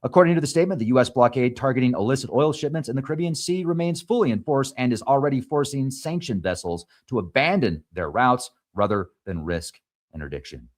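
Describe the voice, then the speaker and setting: A man's voice: mid-range voice, quick pace. Non-native English-speaking man, mid-range voice, reading headlines and trips on names. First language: not English. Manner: reads articles aloud, stumbles on names, adds asides. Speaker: show host. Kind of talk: reading news headlines with commentary.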